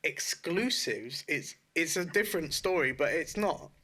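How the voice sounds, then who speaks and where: A man's voice: on the high side, lively intonation, unhurried, bright, forceful tone. A man co-hosting a casual video game podcast, talking in a blunt, slangy way with some swearing. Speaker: podcast co-host. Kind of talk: casual podcast chat about video games.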